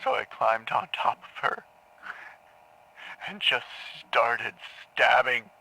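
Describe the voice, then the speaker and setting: A man's voice: mid-range voice, expressive, takes it slowly, vocal fry, bright, forceful tone, slightly rough, exaggerated voice, low-quality recording. An actor playing a troubled male patient in an audio drama, confused and haunted, stammering and sometimes dropping into a near-whisper. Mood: haunted, numb. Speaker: therapy patient.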